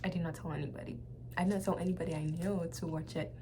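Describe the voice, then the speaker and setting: A young woman with a Nigerian accent shares a personal testimony in a casual, halting way, often repeating phrases for emphasis. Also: on the low side, fairly even intonation, noisy background. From Nigeria (Lagos). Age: young adult. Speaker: vlogger.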